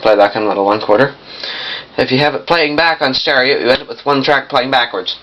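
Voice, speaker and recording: Muffled, lo-fi young man demonstrating gear. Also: medium pitch. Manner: halting, piecemeal explanations with self-corrections. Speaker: vintage audio collector demonstrating a machine. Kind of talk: casual hands-on demonstration. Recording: muffled lo-fi camcorder sound, noisy.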